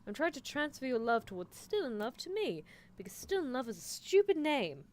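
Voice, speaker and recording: A woman's voice: high voice, sing-song, a little fast, a little mellow. A woman streaming an improvised ASMR roleplay as a scheming, possessive anime mad scientist, muttering in theatrical exasperation. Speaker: streamer performing character roleplay.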